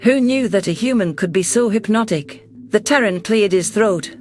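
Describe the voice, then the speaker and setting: Man voicing a high, gentle female alien leader. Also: moderate pace, faint music behind, close to an everyday voice. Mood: tender. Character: caring, composed female alien leader with paws. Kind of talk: first-person sci-fi story narration and dialogue.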